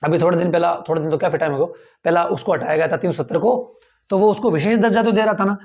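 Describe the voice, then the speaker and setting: A man's voice: high voice, fast, a little mellow. A male teacher giving a tenth-grade civics lecture, repeating key points and asking the class rhetorical questions.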